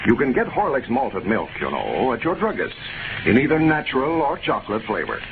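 A man's voice: mid-range voice, huge pitch swings, brisk. A man with an American accent announcing in 1930s radio style, reading scripted copy in a polished, emphatic way. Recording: scratchy, tinny 1930s radio broadcast recording.

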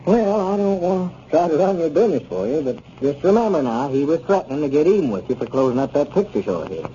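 Sensible, mellow small-town friend. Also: male voice, fairly high pitch, big pitch swings, fast. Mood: wary. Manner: plain-spoken, advising. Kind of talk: 1930s radio comedy serial acting. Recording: muffled 1930s radio recording, faint noise.

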